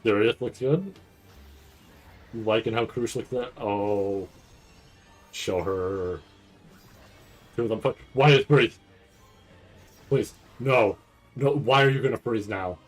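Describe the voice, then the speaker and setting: A man doing casual livestream reaction commentary, rambling and stammering over repeated words with a nervous, excitable manner. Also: fairly low pitch, slow pace, pauses often, soft background music. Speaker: streamer reacting to a live anime event.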